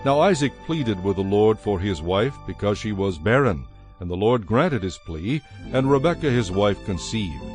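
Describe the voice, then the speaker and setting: Solemn, low-voiced male narrator. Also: animated, moderate pace, darkish tone. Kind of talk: scripted dramatized scripture narration. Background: music.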